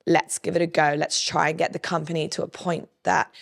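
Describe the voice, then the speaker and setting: Croaky woman with a gravelly voice. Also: low voice, flat delivery, a little fast. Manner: candid, storytelling, lists things off. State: hoarse, croaky. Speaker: podcast guest, business co-owner. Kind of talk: casual interview chat.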